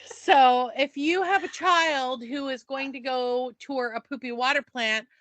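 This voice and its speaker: A woman's voice: sits high, measured pace, a bit bright, no room sound, rough recording. A middle-aged woman co-hosting a podcast, chatting casually with a self-deprecating, giggly manner. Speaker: podcast co-host. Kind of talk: casual chat.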